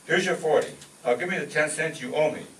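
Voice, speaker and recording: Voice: mid-range voice, a little fast, fairly bright tone, natural voice. A performer playing a slick, unflappable male straight man in a vaudeville-style radio comedy routine. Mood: slick, insistent. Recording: echoey distant mic, noisy live stage.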